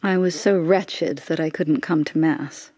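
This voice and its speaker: A woman's voice: sits low, a little slow, dark tone, husky. Intense, devout mother in anguish. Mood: wretched, guarded. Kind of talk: radio drama acting.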